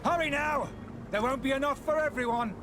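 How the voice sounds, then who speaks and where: An actor playing a smooth-talking street charlatan in a video game, grandiose and persuasive in a theatrical sales pitch. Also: male voice, a little fast, music in the background. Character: smooth-talking male street charlatan hawking a miracle potion.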